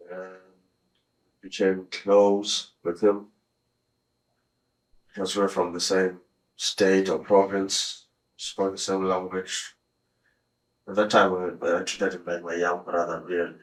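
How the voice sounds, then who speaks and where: A South African man in his thirties, in a police interview room, recounting his story haltingly with many restarts. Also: deep voice, poor audio. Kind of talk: recounting events under questioning.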